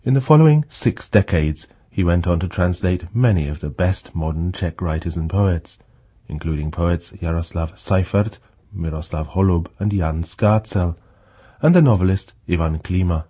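A man narrating a radio feature as its reporter, in a calm, even storytelling manner. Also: sits low, moderate pace, husky.

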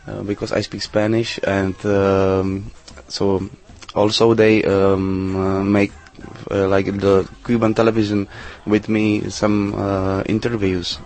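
A man, his voice sitting low and staying flat. He answers questions in halting, English, pausing on fillers and restarting his sentences. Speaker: interview guest, DJ. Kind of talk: casual interview answers.

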